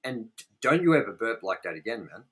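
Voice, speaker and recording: Bright-voiced, foul-mouthed Australian man. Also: lowish voice, sing-song, a little fast. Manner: ranting, crude, heavy swearing. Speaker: podcast host. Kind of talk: casual profane film review banter.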